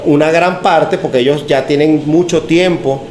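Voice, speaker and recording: A man hosting a podcast, asking long questions in a relaxed, conversational way. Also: fairly high pitch, moderate pace. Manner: conversational, asks long questions, uses fillers like "ok?" and "este". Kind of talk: casual podcast discussion. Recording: echoey room with faint noise.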